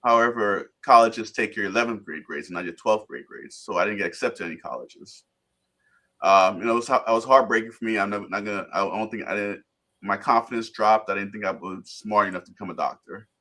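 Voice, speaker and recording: A man in his thirties from Guyana, a guest telling his life story on a video call, relaxed and rambling with restarts. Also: on the low side, a little fast. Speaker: interview guest. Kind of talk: casual storytelling interview.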